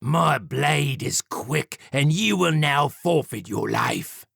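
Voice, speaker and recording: A man acts out an arrogant gentleman murderer for an audiobook. The words are stretched out with sneering disdain, pitched a little high. He is haughty, polished and threatening, every inch the Victorian villain. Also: animated, creaky, breathy edge, no echo, studio quality, full character voice. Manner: haughty, drawn-out, sneering delivery.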